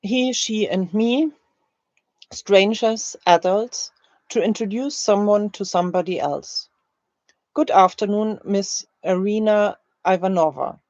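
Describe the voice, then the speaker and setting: Slow-speaking woman teacher, slightly muffled sound. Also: medium pitch, darkish tone, no echo. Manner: deliberate, carefully spaced short phrases. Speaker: language teacher. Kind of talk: slow, scripted language-learning drill, read aloud.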